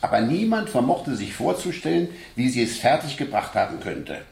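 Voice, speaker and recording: A man's voice: mid-range voice, sing-song, normal speed, gravelly, a little mellow. An actor playing a shrewd, insinuating man questioning a suspect in a German radio crime drama, in a roomy recording. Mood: probing. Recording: roomy sound with faint noise.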